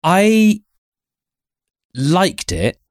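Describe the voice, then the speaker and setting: A man co-hosting a podcast in casual conversation, giving his opinions in a measured, hesitant way. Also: sits high, wildly swooping pitch, no room sound, clean studio sound. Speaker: podcast co-host. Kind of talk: casual chat and reviewing.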